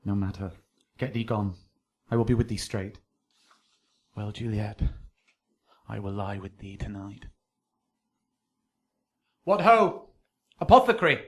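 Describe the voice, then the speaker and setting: A man's voice: on the low side, expressive, measured pace, long pauses. An actor playing a passionate, desperate young nobleman, declaiming intensely in a Shakespearean radio drama. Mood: despairing.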